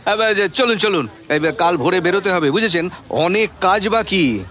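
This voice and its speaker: A man's voice: high-pitched, lively intonation, moderate pace, a bit bright. A man playing a jovial, teasing young brother-in-law in a radio drama, chuckly and stammering, with a West Bengal Bengali accent. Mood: teasing, amused.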